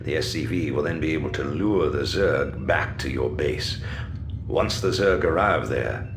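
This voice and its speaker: A proud older emperor from a video game, with a deep voice. He is pompous and measured, a schemer who speaks with open disdain. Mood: businesslike.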